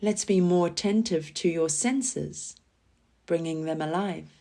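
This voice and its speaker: A woman leading a scripted guided relaxation meditation in a calm, soothing manner, giving gentle instructions with pauses. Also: on the low side. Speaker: yoga nidra guide.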